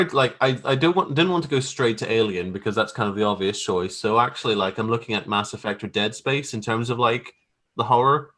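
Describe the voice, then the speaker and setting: A man's voice: on the low side, fairly bright tone. A man talking casually as an interview guest about his creative work, hesitant and thinking aloud. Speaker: guest, graphic artist.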